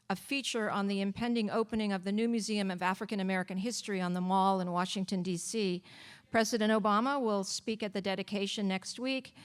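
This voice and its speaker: A woman hosting a live literary evening, giving a prepared introduction in a measured, formal and deliberate way. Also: medium pitch. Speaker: event host introducing an author evening. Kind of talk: prepared introduction speech with practical notes.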